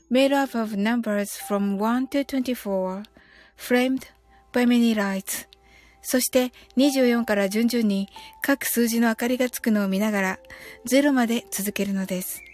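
A woman hosting an online live stream, speaking in an informal, personal style as she addresses her listeners. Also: a little high. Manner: chatty, reading out listener comments. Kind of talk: casual live stream chat and bilingual guided meditation.

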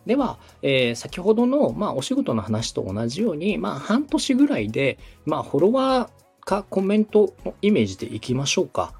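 Husky, lively male podcast coach. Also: fairly high pitch, normal speed, hardly any pauses, faint music behind. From Osaka, Japan. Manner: polite, instructive. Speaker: podcast host and coach, radio director. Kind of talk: consulting conversation, explaining step by step.

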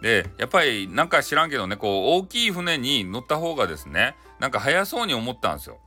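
A man tells a story in an animated, bright voice with a Hakata accent. He is casual and playful, improvising chattily and adding his own sound effects. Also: medium pitch, quick pace, faint music behind. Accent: Hakata Japanese. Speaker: storyteller, audio channel host. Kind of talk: casual improvised folktale telling in dialect.

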